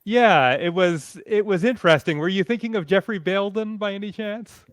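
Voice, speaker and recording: Male panelist, slightly high clean voice, closely recorded and dry, thoughtful stop-and-start casual delivery. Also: normal speed, no echo.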